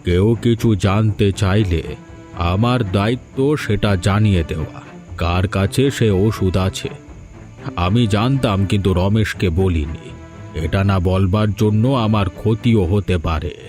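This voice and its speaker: A man's voice: lowish voice, slow pace, a little mellow, soft background music. A man playing a stern, all-knowing mystical guardian in a village fairy-tale cartoon, speaking in a solemn, commanding way. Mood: solemn, assured. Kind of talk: dramatic dubbed cartoon dialogue.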